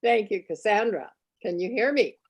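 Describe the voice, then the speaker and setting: A woman's voice: mid-range voice, animated, moderate pace, slightly rough, no echo. Woman grammarian on a call.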